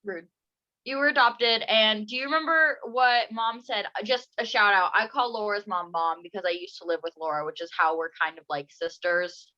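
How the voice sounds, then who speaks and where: A young adult host running a playful, casual home interview with silly sing-song asides. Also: female voice, medium pitch, fairly even intonation, normal speed, sharp tone, highs cut off. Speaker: podcast host.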